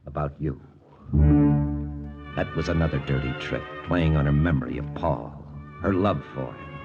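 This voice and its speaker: An actor playing a wry American army lieutenant hunting spies, in a 1940s radio drama with first-person narration. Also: male voice, very deep voice, normal speed. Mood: wry, confiding. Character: wry American army lieutenant and spy-hunter, quietly lovesick. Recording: muffled old radio recording, music behind.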